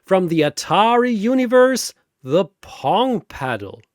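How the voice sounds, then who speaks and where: A man voicing a flamboyant, grandiose four-armed arena master of ceremonies in a fantasy audio show, announcing with drawn-out showman's emphasis. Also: high-pitched, big pitch swings, dry recording, clean studio sound, pitched above the performer's own voice, full character voice. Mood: hyped announcing.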